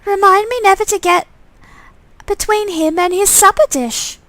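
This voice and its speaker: A woman's voice: very high voice, normal speed, a bit bright, no echo, voice pushed up. A woman voicing the young heroine of a visual novel, with a questioning, wry and plaintive way of talking. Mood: playful, wry.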